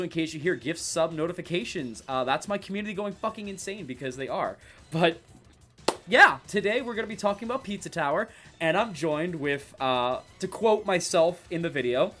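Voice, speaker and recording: A man with a fairly high voice hosting a loose podcast chat, talking off the cuff and circling back with fillers and restarts. He sounds a little stuffy and nasal from a cold. Faint music plays behind him. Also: a bit bright. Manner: rambling, with many fillers and restarts. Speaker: podcast host.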